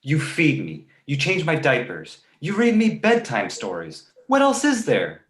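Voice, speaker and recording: A sarcastic baby boy played by a grown man who speaks in full adult sentences for an animated comedy read. The delivery is snarky and very lively in pitch, recorded in an echoey room. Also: a little slow. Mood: smart-mouthed. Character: precocious snarky two-month-old baby boy who talks. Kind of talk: live table read of an animated comedy script.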